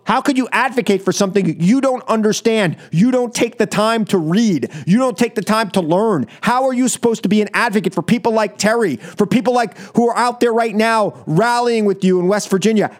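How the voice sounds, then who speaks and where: A man's voice: high voice, brisk, few pauses. A man with an American accent hosting political talk radio, speaking emphatically and scoldingly in short, repeated phrases. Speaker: radio talk show host. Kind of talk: political opinion monologue on call-in radio.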